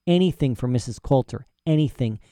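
A man's voice: mid-range voice, animated, fairly quick, mellow, dry recording, clean studio sound, natural voice. A man voicing an indignant, rambling old male professor who is locked in a cell, ranting boastfully with "eh?" asides. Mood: conspiratorial. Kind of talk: audiobook character voice.

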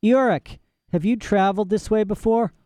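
Man doing a spirited young girl, high and eager, urgent, smooth, dry close sound. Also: mellow, dry recording, natural voice. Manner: eager, pleading, quick.

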